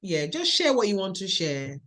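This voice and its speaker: A woman with a Nigerian accent hosting a podcast interview, speaking in a slow, measured, encouraging way. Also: medium pitch, big pitch swings, a bit bright. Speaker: podcast host.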